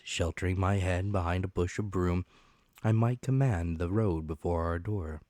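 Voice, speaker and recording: Male audiobook narrator: low-pitched, dramatic scripted delivery, dry sound. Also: dark tone, no echo.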